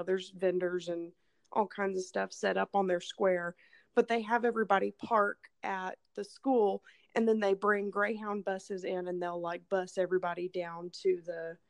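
A hoarse woman, casually telling a rambling, profane story as podcast host. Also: medium pitch. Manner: rambling, swearing, laughing storyteller. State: hoarse, losing her voice from illness.

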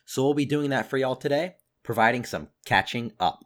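A young man co-hosting a pop culture podcast while sick, his voice deeper than usual, talking quickly and eagerly. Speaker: podcast co-host. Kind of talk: casual chat.

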